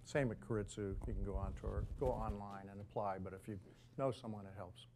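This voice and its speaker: A man's voice: lowish voice, fairly even intonation, brisk, a little mellow, slight background noise. A man speaking as a panelist in a live investor panel, plain and matter-of-fact.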